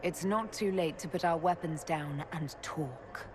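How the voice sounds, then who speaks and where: An actress playing a calm, direct, authoritative heroine leader in a video game. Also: female voice, low-pitched, unhurried, background noise. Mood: calm, resolute. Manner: direct, controlled.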